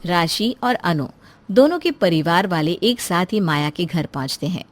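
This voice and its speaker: A woman's voice: on the low side, expressive, normal speed. A woman narrating a scripted family moral story as its storyteller.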